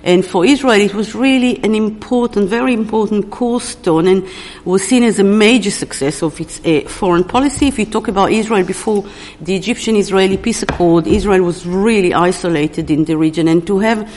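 A woman presenting an academic book at a conference, speaking in a halting way and often searching for words mid-sentence. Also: medium pitch, darkish tone. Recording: long reverb of a large hall.

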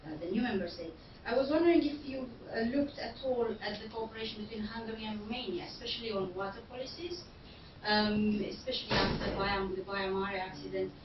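A woman's voice: medium pitch, a little fast. Hesitant woman asking from far off. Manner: hesitant, many fillers and restarts. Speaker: audience member asking questions. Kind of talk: academic question-time. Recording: distant, roomy, dull and noisy hall recording.

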